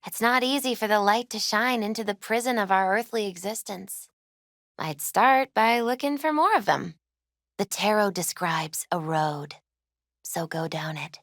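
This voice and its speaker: A woman playing a gentle, earnest young tarot reader in a video game, with thoughtful, hesitant phrasing. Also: mid-range voice, measured pace, no room sound, clean studio sound. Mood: mystical, explanatory. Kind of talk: naturalistic video game dialogue acting.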